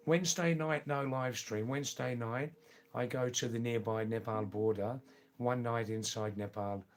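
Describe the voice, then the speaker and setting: An older man with an Anglo-Indian accent chatting casually on a live stream, answering viewers' comments with lots of fillers and restarts. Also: medium pitch. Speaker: livestream host.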